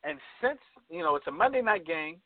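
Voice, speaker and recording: A man hosting a casual sports radio show, picking games with animated restarts, his voice going hoarse. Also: high voice, a little fast, dry recording. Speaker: sports talk show host. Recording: dry, tinny phone-like sound.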